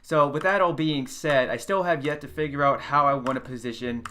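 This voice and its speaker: Loud, bright-voiced man narrating gameplay. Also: fairly high pitch, brisk, a little hiss or hum. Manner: loud, chatty, self-correcting. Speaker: gaming channel host. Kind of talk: casual game commentary. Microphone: desk USB condenser mic.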